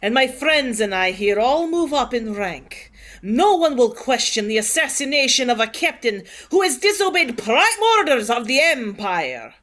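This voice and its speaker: Woman acting high, animated, scheming starship mutineer. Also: normal speed. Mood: menacing. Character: scheming, ambitious female starship crew mutineer. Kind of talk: audio drama acting.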